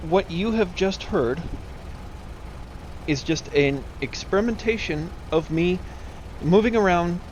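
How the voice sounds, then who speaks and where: A man giving an informal software demonstration, explaining things step by step. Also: high-pitched, slow, dull audio. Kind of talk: casual software tutorial. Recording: clear background noise of simulator ambience. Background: flight simulator background sounds.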